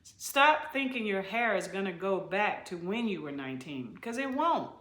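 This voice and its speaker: A middle-aged woman talks straight to her viewers about hair care in a voice of ordinary pitch, leaning hard on key phrases and saying them again. The sound bounces around an echoey room. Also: animated, moderate pace, a bit bright. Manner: emphatic, preachy, repeats key phrases; addresses viewers as y'all and you guys. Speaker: haircare specialist. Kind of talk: direct-to-camera advice talk.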